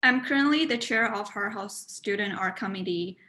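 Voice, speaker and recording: A woman's voice: medium pitch, moderate pace, sharp tone. A woman in her twenties from China, Mandarin native, speaking English with an accent, answering interview questions over a video call with frequent fillers and restarts. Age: 20s. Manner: hesitant, many fillers and restarts. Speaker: student interviewee. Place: home room, indoors.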